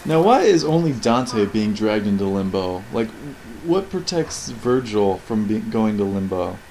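A man's voice: fairly low pitch, sing-song, measured pace, slight background noise, low-quality recording. A man giving casual, rambling, sardonic off-the-cuff commentary as he reviews video game cutscenes. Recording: voice over loud game audio.

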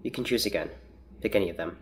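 Actor as a reserved, low-voiced male researcher. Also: fast talker, a bit bright, lightly acted. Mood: hesitant. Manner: formal, precise, hesitant. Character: reserved, pedantic male researcher, anxious and guarded. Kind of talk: naturalistic audio drama dialogue.

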